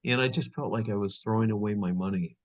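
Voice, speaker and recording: A man's voice: on the low side, fast. A middle-aged man hosting a business interview show, talking in a rambling way with lots of fillers. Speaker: host. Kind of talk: interview host chat.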